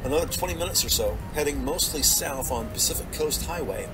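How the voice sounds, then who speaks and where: Higher-pitched male narrator over prominent music. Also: expressive. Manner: measured descriptive storytelling. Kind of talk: scripted audio drama narration.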